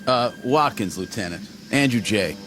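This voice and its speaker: An actor playing a tired, mildly insolent army private in a radio drama, casual and a little hesitant. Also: male voice, on the low side, expressive, normal speed, lightly acted. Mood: dry, insolent. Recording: dramatic music under the dialogue.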